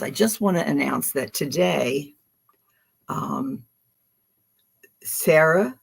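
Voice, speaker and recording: A woman's voice: low-pitched, gravelly, slightly rough. A woman with a Philadelphia accent giving chatty, affectionate tarot card readings on a livestream, with frequent ums and restarts.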